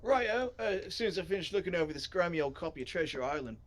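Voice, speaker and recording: A man's voice: on the high side, sing-song, very fast, voice pushed up. A man voicing a snooty lad in a story game, dramatic and pompous in manner. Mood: theatrical, disdainful. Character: snooty, theatrical British cricket-fan lad, bored by books.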